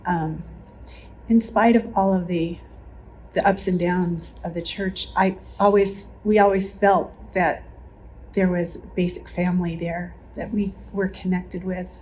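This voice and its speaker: A woman, a longtime church member, telling a personal story in an interview in an earnest, measured way. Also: fairly low pitch, steady tone, a little mellow, slight background noise, low-quality recording.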